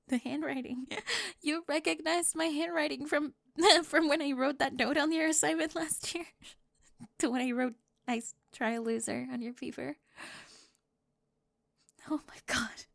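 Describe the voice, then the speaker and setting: Woman acting a flustered, high-voiced teenage cheerleader. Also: no room sound, clean studio sound. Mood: flustered disbelief. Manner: breathy half-whispers, stammering, nervous giggles. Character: flustered teenage cheerleader bully confessing a secret crush. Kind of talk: ASMR roleplay acting.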